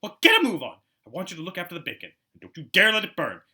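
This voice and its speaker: A man voicing a shrill, bossy middle-aged aunt in an audiobook reading of a children's story. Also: wildly swooping pitch, racing, pushed and bright, heavily acted. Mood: snappish, demanding. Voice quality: shrill, screechy. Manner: barking orders, scolding. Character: shrill, bossy, snappish middle-aged aunt who dotes on her son.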